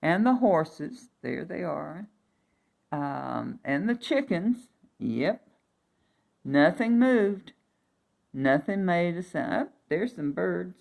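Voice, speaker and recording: A woman reading a fairy tale aloud for young children, expressive, with chatty asides and emphatic repetition. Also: lowish voice, slow pace, vocal fry.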